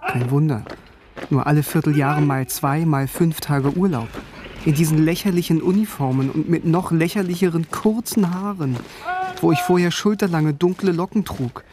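A man's voice: a little high, moderate pace, noisy background, close to an everyday voice. An actor playing a wry, self-deprecating young East German man who narrates his awkward youth in a German radio drama. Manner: self-deprecating, wryly literate.

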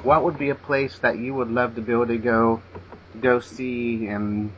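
A man's voice: mid-range voice, little pitch movement, moderate pace. A man hosting a podcast interview, talking casually with hesitant, stumbling restarts. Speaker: podcast host. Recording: dull lo-fi sound with faint noise.

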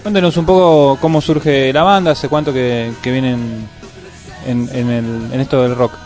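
A man's voice: medium pitch, fairly even intonation, moderate pace, a little mellow, faint music behind. A man co-hosting a radio show, greeting guests and asking casual questions. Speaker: radio co-host. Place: radio studio.

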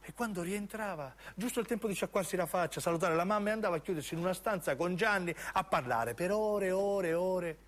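A man's voice: high voice, a little fast, few pauses. A man with a southern Italian accent narrating a theatrical monologue for radio, emphatic and given to incantatory repetition. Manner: emphatic, incantatory repetition, acting out the characters as he tells. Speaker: narrating actor.

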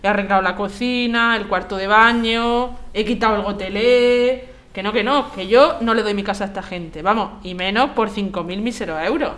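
Actriz haciendo de vecina testaruda e indignada, castellana. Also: female voice, medium pitch, normal speed, fairly bright tone, natural voice. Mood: indignant. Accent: Castilian Spanish. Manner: blunt, flustered, repeats herself. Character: Silvia, indignant, stubborn homeowner and wife. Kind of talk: scripted audio drama.

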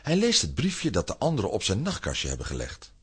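A man's voice: on the low side, wildly swooping pitch, brisk, a bit bright, slightly rough. A man narrating a children's audio play in a scripted storytelling style.

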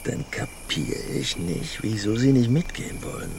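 A cocky rural boy in a radio drama, creaky voice, keeps pestering, night insects around him. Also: mid-range voice, sing-song, moderate pace, background noise. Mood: puzzled, pressing. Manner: colloquial, cajoling, insistent. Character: pushy rural youth clumsily courting, boasting of his money. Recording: outdoor night ambience with crickets. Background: crickets, night insects.

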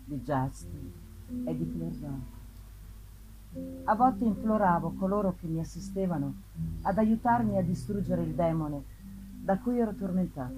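Woman reading as anguished, guilt-ridden gentleman. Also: fairly low pitch, lively intonation, moderate pace, pauses often, music in the background, close to an everyday voice, low-quality recording. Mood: anguished, despairing. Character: anguished, guilt-ridden young gentleman recalling his torment. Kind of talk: dramatic live reading of a gothic novel.

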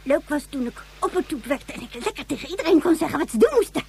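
An actress playing a bratty, callous child in a radio drama, petulant and blunt. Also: female voice, sits high, very animated, fast talker, a little mellow. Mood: defiant, spiteful. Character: bratty, callous child leader, spiteful and defiant.

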